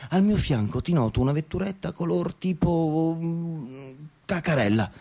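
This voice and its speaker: An actor playing a bitter, sardonic young bank official in a theatrical radio monologue, listing and repeating phrases. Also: male voice, fairly high pitch, animated, normal speed, gravelly, mellow. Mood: scornful. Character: bitter, sneering young bank official raging in motorway traffic. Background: music.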